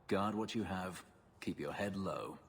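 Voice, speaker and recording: An actor playing a brooding, cautious elf in a video game, dry and reproving. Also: male voice, sits low, a little slow, gravelly.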